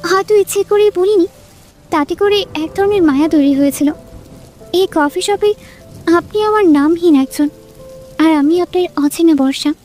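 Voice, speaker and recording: A woman playing a wistful young woman in love in an audio drama, speaking in a soft, poetic, restrained way. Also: very high voice, moderate pace, pauses often, soft background music. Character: wistful young woman, nameless coffee-shop companion in love.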